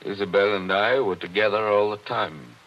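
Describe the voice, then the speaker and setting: An actor playing a grieving older gentleman, formal and measured, in 1940s radio drama style. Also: on the low side, natural voice. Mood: insistent. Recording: dull vintage 1940s radio recording.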